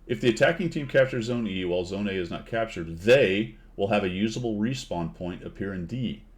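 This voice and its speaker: A man's voice: fairly low pitch, animated, fairly quick. A man hosting a casual gaming podcast chat, reading patch notes aloud and laughing at them in disbelief. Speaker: podcast host.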